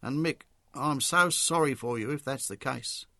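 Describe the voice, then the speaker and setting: A man voicing a motherly older Australian woman, a sad and gentle neighbour in a story. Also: medium pitch, normal speed, gravelly, dry recording, clean studio sound. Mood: sad, sympathetic. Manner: wistful, gentle, reluctant.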